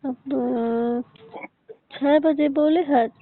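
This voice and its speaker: A woman's voice: high voice, steady tone, extremely slow. A woman with a Chhattisgarhi accent in a private recorded phone conversation, giving short replies and questions. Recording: tinny recorded phone call.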